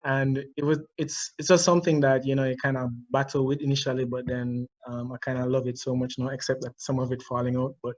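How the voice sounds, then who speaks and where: A man from Jamaica with a Jamaican accent, casually telling a personal story in a discussion, animated and laughing at himself. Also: medium pitch, fast talker, few pauses, highs cut off. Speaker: discussion participant.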